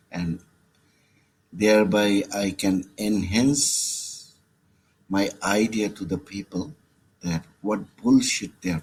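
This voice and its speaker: Slow, low-voiced Bangladeshi man, noisy recording. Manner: halting, hesitant phrasing in imperfect English. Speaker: caller. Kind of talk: personal voicemail message.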